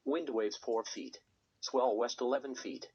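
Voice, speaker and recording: A synthetic text-to-speech male voice reading a scripted weather radio forecast in a measured, impersonal way. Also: mid-range voice, fairly even intonation, slight background noise. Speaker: automated weather radio announcer. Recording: dull lo-fi weather radio recording.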